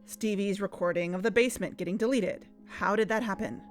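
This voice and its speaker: An actress playing a cautious young woman investigator who speaks hesitantly and softly in an audio drama. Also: mid-range voice, faint music behind, lightly acted. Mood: accusing. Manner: hesitant, soft, halting.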